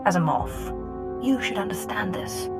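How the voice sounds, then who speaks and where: A ruthless, imperious dark lord in a video game. She speaks coldly and with authority over clearly audible music. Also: mid-range voice, measured pace. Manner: authoritative, cutting, aloof. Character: cold, imperious female dark council lord. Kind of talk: scripted video game acting.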